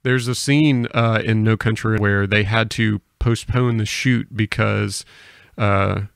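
A man's voice: fairly low pitch, fairly even intonation, takes it slowly, creaky, smooth, dry recording. An American man hosting a casual podcast conversation, speaking into a close microphone. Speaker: podcast host.

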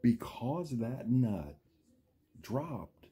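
A man reading a children's picture book aloud, speaking directly to the children like a schoolteacher, with theatrical drawn-out words and dramatic pauses. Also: medium pitch, very animated, mellow, breathy edge. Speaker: storyteller, reader to young children.

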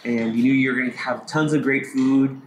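A man's voice: mid-range voice, steady tone, a little fast. Male host chatting in an echoey space. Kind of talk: casual conversational interview. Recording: echoey space, faint background noise.

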